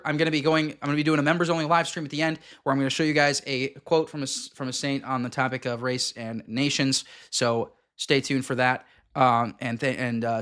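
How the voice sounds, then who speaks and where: A man hosting a live stream, reacting to a clip with blunt, emphatic commentary and quick asides to his viewers. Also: mid-range voice, a bit bright.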